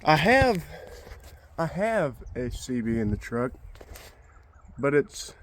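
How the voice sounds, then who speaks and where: A man's voice: a little high, big pitch swings, slow. Casual, rambling man vlogging. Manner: rambling, folksy, colloquial. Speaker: vlogger, DIY truck project host. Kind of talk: casual unscripted chat to camera.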